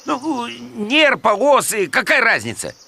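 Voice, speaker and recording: A man voicing an excitable, stubborn, scheming polar bear in a children's cartoon, exclaiming and muttering theatrically. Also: very high voice, slow pace, vocal fry, slight background noise, full character voice. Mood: stubborn, dismissive. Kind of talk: animated children's cartoon acting.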